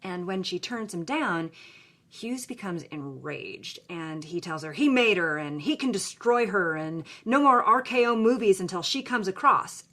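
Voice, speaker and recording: A woman's voice: medium pitch, expressive, a little slow, fairly bright tone. A woman co-hosting a podcast, telling a story in a conversational narrative style. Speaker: podcast co-host. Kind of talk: conversational storytelling with read-aloud passages.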